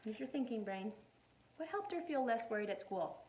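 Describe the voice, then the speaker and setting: High-voiced woman teacher reading to young children. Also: background noise. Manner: encouraging, animated, asks questions and pauses for answers. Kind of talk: read-aloud storytime with questions to young listeners.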